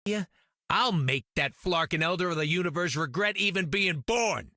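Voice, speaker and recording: A man voicing a gruff, hot-tempered little talking raccoon in a video game, with a gravelly voice. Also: sits high, lively intonation, normal speed, sharp tone, no room sound, studio quality. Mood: irritated.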